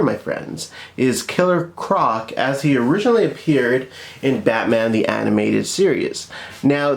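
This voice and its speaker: A man hosting a casual product review, speaking informally and with enthusiasm. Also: mid-range voice. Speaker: toy review host.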